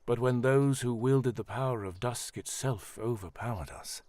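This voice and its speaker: A man playing an elderly high priest in a fantasy audio drama, kindly and solemn, speaking in a formal, measured, reassuring way. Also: darkish tone, no room sound, close to an everyday voice. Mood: solemn, reflective.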